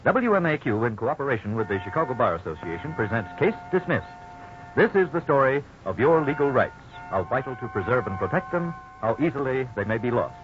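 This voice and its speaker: A male announcer in dramatic 1950s radio style. His mid-pitched voice rises and falls strongly. Music plays clearly behind him on a muffled recording. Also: big pitch swings, brisk.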